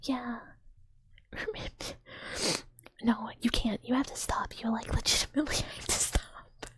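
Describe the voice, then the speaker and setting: Woman, soft airy whisper, higher pitch, dry close sound, giggly rambling chat. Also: steady tone, moderate pace, husky, no echo. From United States. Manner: giggly, rambling, frequent "like" fillers. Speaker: streamer. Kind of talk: whispered casual chat with viewers.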